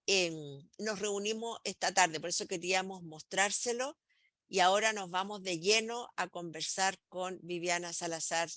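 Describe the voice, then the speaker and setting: A middle-aged woman guides teachers through a slide presentation. Her voice is bright, and she talks with many "eh" pauses and small restarts. The recording is dry and close, with no room sound. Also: fairly low pitch, dry recording. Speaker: host presenting materials to teachers.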